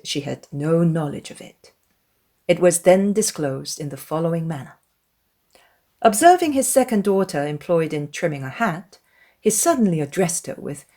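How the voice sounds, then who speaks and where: A woman's voice: on the low side, a little mellow. A woman reading scripted literary narration as the narrator of a dramatized audiobook.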